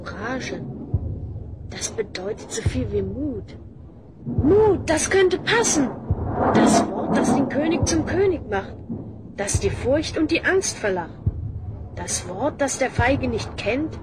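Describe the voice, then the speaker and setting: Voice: high-pitched, sing-song, slow pace, pauses often, full character voice. An actor playing an earnest, commanding young hero with declamatory delivery in a German fantasy audio play. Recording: loud background noise.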